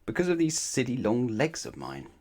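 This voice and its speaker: A man's voice: medium pitch, huge pitch swings, moderate pace, gravelly, darkish tone, pitched above the performer's own voice, full character voice. A man voicing a gloomy, very tall, long-legged storybook character who sighs mournfully. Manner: mournful, sighing.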